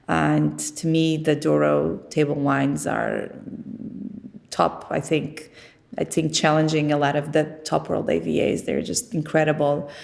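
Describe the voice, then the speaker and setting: A woman's voice: low voice, steady tone, a little slow, gravelly, darkish tone. A middle-aged woman from Portugal, talking conversationally about her work in an interview. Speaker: winemaker being interviewed.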